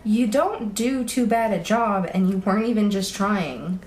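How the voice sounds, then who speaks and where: A young woman playing an earnest college student in an audio drama, talking casually in a halting, questioning way. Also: medium pitch, a little mellow, natural voice. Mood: incredulous, prodding. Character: earnest, hesitant young college student, thief's incredulous friend. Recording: roomy echo, faint background noise.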